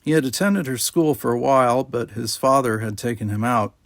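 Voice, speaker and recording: A man narrates a story as an amateur audiobook reader. His voice sits at a middle pitch. The recording is dry, with little trace of a room. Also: brisk, gravelly, no echo.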